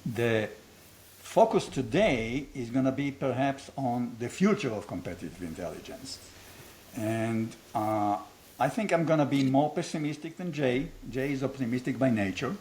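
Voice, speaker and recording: A man's voice: medium pitch, expressive, normal speed, a little mellow, a little hiss or hum. An older man from Israel with an Israeli accent, hosting an unscripted conversation in a blunt, provocative way with self-deprecating humor. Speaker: host, founder of a training academy.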